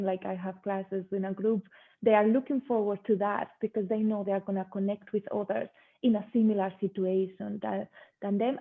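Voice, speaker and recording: A woman with a Spanish accent, a native Spanish speaker, talking enthusiastically and at length as a yoga instructor being interviewed over an online call. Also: medium pitch. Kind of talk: conversational interview. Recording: thin phone-like call audio.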